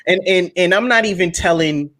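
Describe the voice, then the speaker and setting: A man's voice: high-pitched, moderate pace, a bit bright. A young man talking casually and emphatically on a livestream, repeating words as he makes his points. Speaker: guest speaker on finance.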